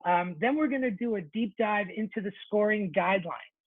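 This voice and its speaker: A man presenting an informal webinar for teachers, chatty and relaxed, often correcting himself mid-sentence. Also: high voice, normal speed, breathy edge, dry recording. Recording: thin phone-like webinar audio, dry.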